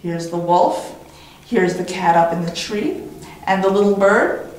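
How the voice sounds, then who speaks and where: A woman reading a children's story as a teacher, in an expressive, animated way with dramatic pauses and repetition. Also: medium pitch. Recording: very echoey room.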